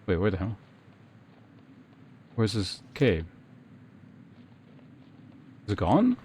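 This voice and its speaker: A man's voice: on the low side, huge pitch swings, extremely slow, a little mellow, a little hiss or hum. A man giving casual, rambling gameplay commentary as a streamer, thinking aloud as he plays. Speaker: game streamer.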